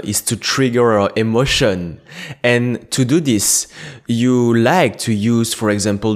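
Male interviewer with a lowish voice. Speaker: interviewer, host. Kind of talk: interview questions.

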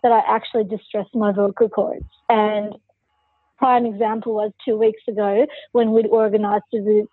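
A woman's voice: medium pitch, little pitch movement, normal speed, breathy edge, no echo, narrow, tinny audio. A woman who is a podcast guest, telling her personal story candidly and forthrightly in an interview.

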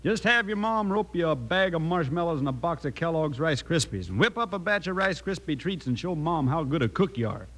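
An actor playing a hot-headed, folksy cowhand full of cowboy slang in an old radio commercial. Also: male voice, on the high side, expressive, fairly quick, few pauses, fairly bright tone. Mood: eager.

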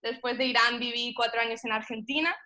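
A woman's voice: on the high side, steady tone, a little fast, pushed and bright. A young woman raised mostly in Egypt, chatting casually as an interview guest. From Middle East, mostly Egypt. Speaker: powerlifting athlete and coach, podcast guest.

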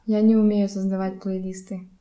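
A woman's voice: mid-range voice, flat delivery, moderate pace, warm tone. A woman chatting casually as a podcast co-host in a room at home. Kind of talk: casual chat. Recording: echoey room.

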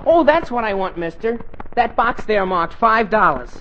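A man's voice: high-pitched, expressive, normal speed. A man with an American accent playing an eager, dithering teenage boy, earnest and exclamatory, in a 1940s radio comedy. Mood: delighted. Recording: noisy muffled 1940s radio broadcast.

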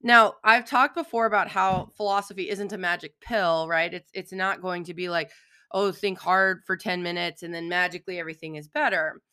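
A woman hosting her own podcast as a philosophical counselor, talking alone with a slightly hoarse, froggy voice and a chatty manner full of "um" and "right?". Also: fairly low pitch, fairly even intonation, normal speed, pushed and bright. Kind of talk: solo podcast monologue.

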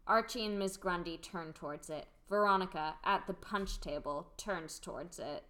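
A woman's voice: lowish voice, moderate pace, sharp tone. A woman reading stage directions as the narrator of a scripted amateur radio play.

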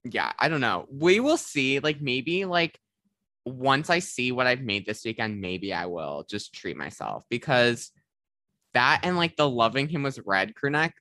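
A man's voice: mid-range voice, lively intonation, a little slow, bright, forceful tone, no room sound, clean studio sound. A teenage boy hosting a podcast, chatting casually and rambling with lots of "like.". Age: teens. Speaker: podcast host. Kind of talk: casual chat.

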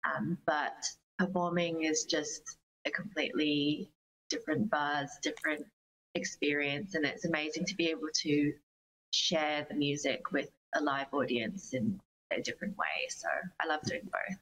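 Woman, Australian accent, very low pitch, earnest enthusiastic interview chat, faint noise. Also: a little slow, a bit bright, poor audio. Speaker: interview guest, singer-songwriter.

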